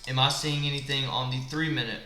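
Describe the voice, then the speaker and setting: A man in an echoey room with a gravelly, smoker-like voice. He sounds tired late at night and walks through his trading setup, asking and answering his own questions. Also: medium pitch, steady tone, fairly quick, sharp tone. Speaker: trading instructor. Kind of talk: live screen-share trading walkthrough. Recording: echoey room with faint background music.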